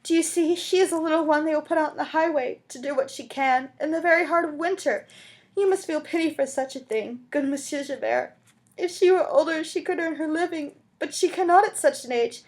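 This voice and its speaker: Woman acting a high-pitched, desperate begging mother. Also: a little fast, a little mellow, close to an everyday voice. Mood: tearful pleading. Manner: pleading, sobbing, agitated. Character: desperate destitute mother begging tearfully for mercy.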